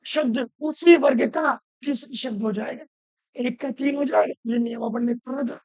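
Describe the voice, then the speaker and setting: A woman teaching Hindi grammar, hoarse from a cold, asking questions and answering them herself while repeating the rules loudly. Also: sits high, normal speed, dry recording. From Rajasthan. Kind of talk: classroom-style lesson.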